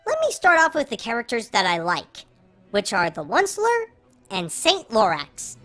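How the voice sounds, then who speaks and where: An artificially pitch-shifted voice of a film reviewer giving opinionated scripted commentary with exasperated emphasis on key words. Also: huge pitch swings, moderate pace, fairly bright tone, faint music behind.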